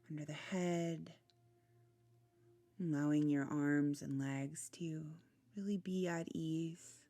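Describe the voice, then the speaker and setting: A woman guides a relaxation meditation in a low, mellow voice. She speaks very slowly and gently, letting phrases trail into soft breath. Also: no room sound. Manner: soothing, unhurried, gentle phrasing with pauses. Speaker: meditation guide, channel host.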